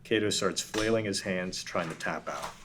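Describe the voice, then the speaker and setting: A man's voice: sits low, normal speed, very noisy. A man narrating a script at a table read, reading the stage directions plainly.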